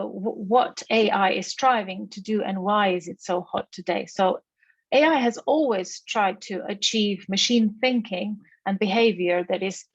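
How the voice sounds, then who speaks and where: A woman's voice: mid-range voice, vocal fry, no echo, muffled recording. A woman, a native Slovenian speaker with a Slovenian accent, gives an academic keynote lecture in an explanatory manner, posing rhetorical questions.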